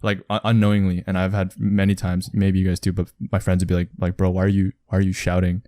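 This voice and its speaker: A young man with a fairly low voice that projects, recorded dry with little room sound. He chats casually as a podcast co-host, often questioning informally. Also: completely flat delivery, fast, no echo. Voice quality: loud, projecting voice. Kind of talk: casual chat.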